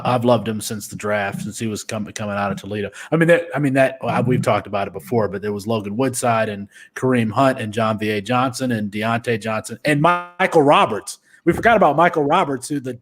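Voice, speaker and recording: A man's voice: medium pitch, fairly quick, few pauses. A man in his thirties co-hosting a casual fantasy football podcast, often restarting and trailing off. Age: 30s. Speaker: podcast co-host.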